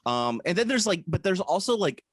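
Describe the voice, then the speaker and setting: A man's voice: high voice, big pitch swings, brisk, slightly rough, no echo, studio quality. A man co-hosting a podcast, chatting casually in a rambling, hesitant way with lots of fillers and jokes. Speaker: podcast co-host. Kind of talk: casual chat. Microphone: podcast microphone.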